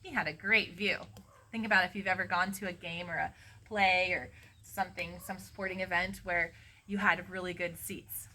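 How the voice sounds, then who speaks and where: A middle-aged woman reading a storybook expressively to children, pausing to explain things in a teacherly way. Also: on the low side, fairly even intonation, sharp tone. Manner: teacherly, explains and prompts listeners. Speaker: read-aloud storyteller for children. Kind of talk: expressive storybook reading with asides to young listeners.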